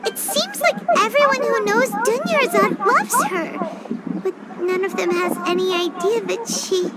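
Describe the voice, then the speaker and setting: A woman voicing a tiny, childlike, excitable floating fairy companion in a video game, squeaky and earnest. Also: a little slow, very noisy. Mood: wistful. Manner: refers to herself in third person, earnest and emphatic. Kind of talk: animated video game acting.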